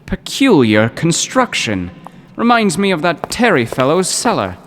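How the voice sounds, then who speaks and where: Fussy, excitable professor, animated pitch. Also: male voice, unhurried, slight background noise, heavily acted. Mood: nervous, puzzled. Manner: prissy, pedantic, flowery archaic wording. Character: timid, fussy, pedantic poetry professor, easily panicked. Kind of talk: old-time radio drama acting.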